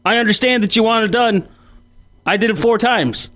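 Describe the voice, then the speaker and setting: A man's voice: sits high, moderate pace, a bit bright. A man arguing casually off-script as an amateur actor, blunt and complaining. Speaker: amateur actor in an audio play.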